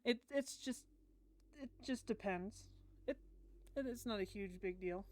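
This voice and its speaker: A woman's voice: medium pitch, measured pace, a little mellow. An American woman streaming a game, chatting casually with stammered, repeated words. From United States. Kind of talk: casual livestream commentary and reading aloud.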